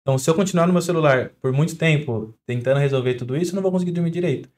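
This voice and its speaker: A young adult man with a Brazilian Portuguese accent, a doctor guest on a podcast, explaining with everyday examples in a quick, chatty way. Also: a little high, a little mellow. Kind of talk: podcast interview.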